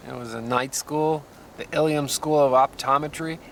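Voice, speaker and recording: Meek, creaky-voiced young soldier. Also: male voice, a little high, fairly even intonation, a bit bright, slight background noise. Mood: meek, reluctant. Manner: halting, noncommittal, subdued. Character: meek, weary young soldier, passive and hesitant. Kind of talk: radio drama acting.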